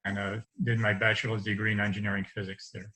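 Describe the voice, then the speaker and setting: Man, 50s, light French accent, bright edgy tone, loose rambling video-call guest. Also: fairly low pitch, flat delivery, fast, poor audio. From France. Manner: rambling, restarts often, light laughs. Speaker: interview guest. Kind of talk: casual conversation over video call. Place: home study with bookshelves. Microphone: video call webcam.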